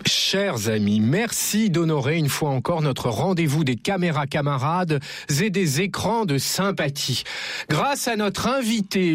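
A man's voice: on the high side, dry recording. A man hosting a radio interview in an ornate, theatrical and effusively courteous manner. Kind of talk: ornate scripted introduction, then interview.

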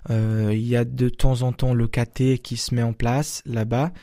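Hesitant young man, mellow voice. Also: medium pitch, flat delivery, normal speed, dry recording, studio quality. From Brazil. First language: Portuguese. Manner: hesitant, many fillers and restarts. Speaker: seminarian. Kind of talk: radio interview answers.

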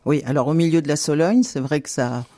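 A man hosting a radio interview, asking brisk, guiding questions. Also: fairly high pitch, dark tone, no echo, studio quality.

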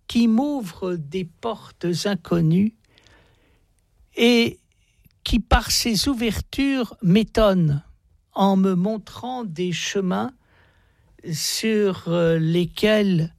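A man hosting a radio programme, speaking in deliberate, emphatic and articulate phrases. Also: high voice, creaky, no echo, studio quality. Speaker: radio host. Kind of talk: radio monologue and interview.

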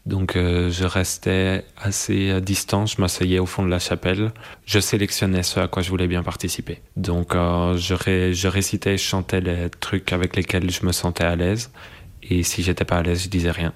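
Low-voiced thoughtful young man. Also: monotone. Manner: thoughtful, searching, many pauses and restarts. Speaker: interviewee. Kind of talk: reflective conversation.

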